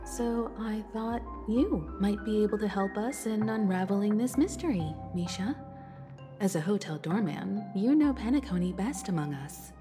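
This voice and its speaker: Crew leader, played by a woman: mature poise, mid-range voice, calm and reassuring. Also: mid-range voice, moderate pace, music in the background, lightly acted. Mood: calm, curious. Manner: composed, polite, assured. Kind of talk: video game cutscene acting.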